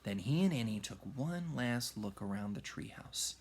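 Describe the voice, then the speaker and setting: A man reading a children's story aloud in an expressive, dramatic way, moving between hushed and raised delivery. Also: mid-range voice, normal speed, breathy edge. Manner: animated, dramatic, with hushed whispers and shouts. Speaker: read-aloud storyteller, music teacher.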